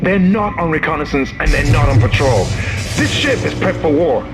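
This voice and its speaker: A man's voice: fairly high pitch, expressive, moderate pace, loud music behind the voice. An actor playing a battered, defiant male commander in a sci-fi horror video game, urgent and emphatic. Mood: alarmed, agitated.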